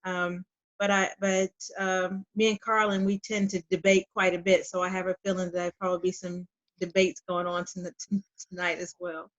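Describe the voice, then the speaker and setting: Measured woman on a dull video call. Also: on the low side, level tone. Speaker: child welfare planner, panelist. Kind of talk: online forum introduction.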